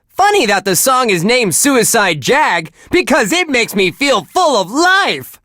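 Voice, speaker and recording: An actor playing a relentlessly cheerful, wholesome radio DJ on a metal station in a video game, with chirpy, earnest patter. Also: male voice, extremely high pitch, pushed and bright, no room sound, studio quality, heavily acted. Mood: earnest, upbeat. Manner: chirpy, earnest, gee-whiz exclamations. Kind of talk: upbeat radio DJ patter, scripted.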